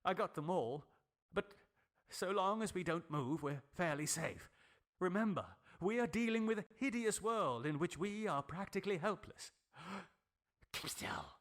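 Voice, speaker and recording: A man's voice: high voice, lively intonation, unhurried, fairly bright tone, voice pushed up. A man voicing a crazed, gloating, obsessed scientist with theatrical, emphatic menace in an audiobook horror story. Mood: urgent, ominous. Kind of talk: dramatic audiobook character acting.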